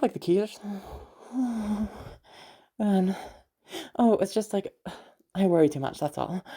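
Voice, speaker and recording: A woman playing a protective, easygoing big sister in an intimate audio roleplay, speaking in a halting, reassuring way with sighs and casual swearing. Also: lowish voice, vocal fry, warm tone. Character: protective, mysterious, easygoing big sister escorting a new acquaintance.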